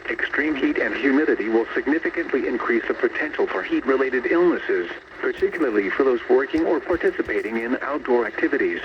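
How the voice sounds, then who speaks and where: Noisy dull weather radio, robotic tts guy reading a formal weather bulletin, measured delivery. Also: mid-range voice, expressive. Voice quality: synthetic text-to-speech voice. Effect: weather radio broadcast. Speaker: automated weather radio announcer. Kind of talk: scripted weather bulletin narration. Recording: noisy, muffled long-distance radio reception.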